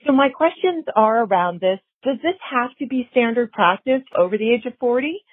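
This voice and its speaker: Give me a polite woman in her forties calling in over a phone line, slightly high and breathy. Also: lively intonation, normal speed. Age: 40s. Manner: polite, articulate. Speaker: caller. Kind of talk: recorded voicemail question.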